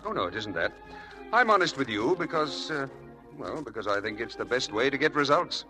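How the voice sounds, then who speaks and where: A breezy, lively-voiced playboy charmer. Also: male voice, medium pitch, fairly quick. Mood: flirtatious, earnest. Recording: old radio broadcast with loud music.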